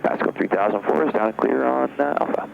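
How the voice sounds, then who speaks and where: Gravelly male pilot on noisy radio. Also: mid-range voice, fast. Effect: aviation radio. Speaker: airline or charter pilot on radio. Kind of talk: radio position reports. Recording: dull, noisy aircraft radio transmission.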